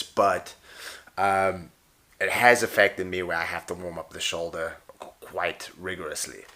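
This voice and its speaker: A man's voice: sits low, sharp tone. A man, a pro wrestler and jiu-jitsu coach, talking in a rambling, wry, unscripted way about his injuries; his voice tires easily. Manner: rambling, self-correcting, wry. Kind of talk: unscripted casual talk to camera.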